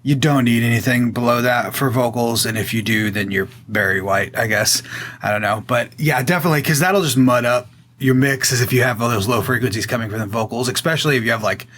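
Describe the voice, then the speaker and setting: A man with a boomy voice explaining audio mixing in a relaxed, casual way, often pausing with fillers. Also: slight background noise. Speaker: guest audio engineer.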